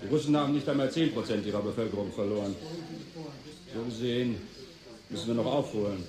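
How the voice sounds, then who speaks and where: A man's voice: on the low side, fast, natural voice. An actor playing a cold, hawkish military spokesman giving a clinical, unfeeling briefing in a radio play. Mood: cold, matter-of-fact. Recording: echoey room with a crowd.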